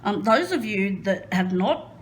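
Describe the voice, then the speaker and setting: Slow-talking nervous Australian woman. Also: medium pitch, big pitch swings. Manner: nervous, rambling, self-deprecating, many ums and laughs. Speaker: crafting vlogger. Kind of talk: nervous casual chat while diamond painting. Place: indoors at a craft table.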